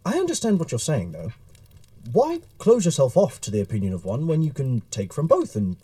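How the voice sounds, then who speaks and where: An actor playing a courteous, earnest, easily flustered Victorian gentleman writer who conducts interviews in an audio drama. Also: fairly high pitch, wildly swooping pitch, fairly quick. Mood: earnest, inquiring. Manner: courteous, hesitant, bookish.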